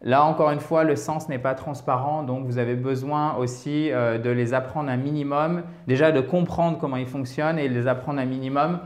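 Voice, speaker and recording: A man from France giving an online English lesson, explaining step by step with examples and checking in often with quick "ok?" and "d'accord?" asides. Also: medium pitch, fairly even intonation, reverberant. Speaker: English teacher.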